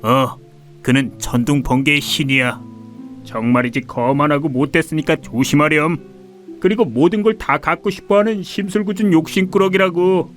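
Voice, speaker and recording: A man's voice: on the high side, normal speed, soft background music, close to an everyday voice. A man voicing a helpful forest animal in a children's cartoon, advising and warning. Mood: cautioning.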